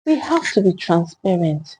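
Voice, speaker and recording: A woman storyteller voicing a shaken, earnest hospital matron, pleading gravely in a story. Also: fairly low pitch, big pitch swings, normal speed, darkish tone, a little hiss or hum.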